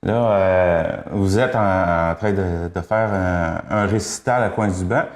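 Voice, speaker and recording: A man with a fairly low voice hosts a local television interview. He asks polite questions in a halting way, and the sound carries a roomy echo. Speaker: TV host.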